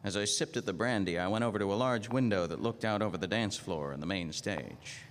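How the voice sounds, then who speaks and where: An actor playing a tough, terse, world-weary police detective narrating a 1940s-style noir radio drama. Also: male voice, on the low side, brisk, natural voice. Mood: tough, dry. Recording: radio play over prominent music.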